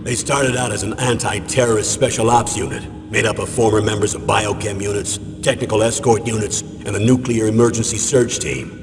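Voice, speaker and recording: An actor playing a stern, middle-aged military commander giving a measured, authoritative briefing in a video game. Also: male voice, lowish voice, steady tone, background noise, close to an everyday voice. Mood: grave, measured. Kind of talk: dramatic video game voice acting. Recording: long reverb, music behind. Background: music.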